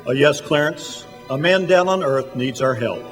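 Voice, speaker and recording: A mid-pitched voice in a reverberant hall, music faint underneath, gives us a man acting a senior angel in a radio play. He is calm, assured and instructive. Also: normal speed, close to an everyday voice. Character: calm, authoritative male chief angel, instructing apprentice.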